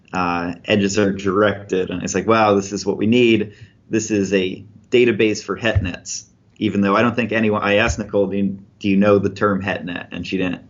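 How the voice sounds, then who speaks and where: Methodical male researcher, low voice. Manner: clear, methodical explaining with examples. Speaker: researcher guest. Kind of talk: explanatory interview answers. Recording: dull lo-fi podcast audio.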